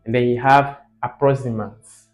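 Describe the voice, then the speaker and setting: A man's voice: mid-range voice, fairly even intonation, moderate pace, soft background music. A man teaching informally as a video host, emphatic, repeating key words and putting rhetorical questions to the learner.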